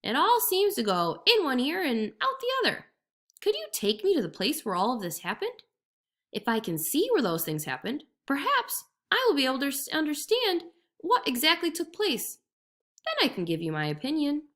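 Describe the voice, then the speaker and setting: Woman putting on a squeaky fussy jackal, all confused and polite, voice leaping way up and down, a crafty storybook critter. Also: wildly swooping pitch, normal speed, a bit bright, full character voice. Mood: puzzled. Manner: dithering, confused, overly polite. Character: fussy, muddled male jackal, sly and polite.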